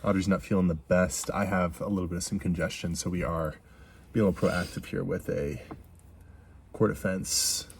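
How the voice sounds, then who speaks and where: A young man with a very low voice talks in a relaxed, wandering way, his nose a little stuffed up. The recording is noisy, with plenty of sound behind him. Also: moderate pace, creaky. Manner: laid-back, rambling, trailing filler words. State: congested, a little nasal. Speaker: fitness vlogger. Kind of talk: casual vlog chat.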